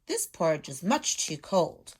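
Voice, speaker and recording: A woman voicing a fussy, picky little girl from a storybook in an expressive, exclaiming way. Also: lowish voice, a little slow, breathy edge, full character voice. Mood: fussy complaint.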